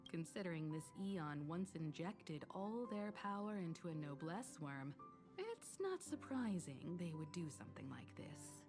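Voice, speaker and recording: An actress voicing a composed, knowledgeable woman mentor in a story game, speaking in measured, solemn exposition. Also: lowish voice, sing-song. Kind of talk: scripted game story dialogue. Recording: loud background music. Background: game music.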